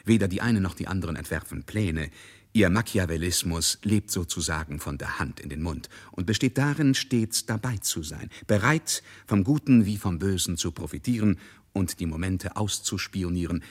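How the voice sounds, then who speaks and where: Low, husky male radio narrator. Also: lively intonation, normal speed. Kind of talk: scripted literary radio drama narration.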